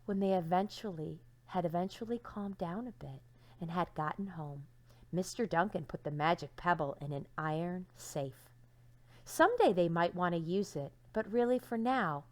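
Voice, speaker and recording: A woman teacher reading a storybook aloud to young children, dramatic and expressive, with hushed phrases and questions to listeners. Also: on the low side, a little slow.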